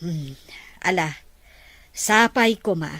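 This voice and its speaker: A woman with an Ilocano Filipino accent playing a pious, caring older mother who gives gentle advice in a family drama. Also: on the low side, expressive, slow pace, no echo, heavily acted. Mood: gentle, approving. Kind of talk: acted family drama dialogue.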